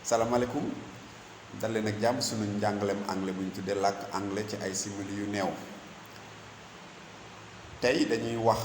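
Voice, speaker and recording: Man, Senegalese accent, English teacher, deliberate, lowish voice, noisy echoey room. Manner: slow, deliberate delivery, switching between English, Wolof and French. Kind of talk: bilingual lesson in English and Wolof.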